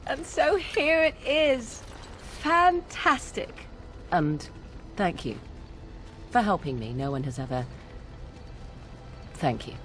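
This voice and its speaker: A woman's voice: mid-range voice, expressive, slow, pauses often, a bit bright, soft background music. A woman playing a guarded, haughty young witch in a fantasy game, with theatrical, archaic phrasing. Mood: grateful, halting.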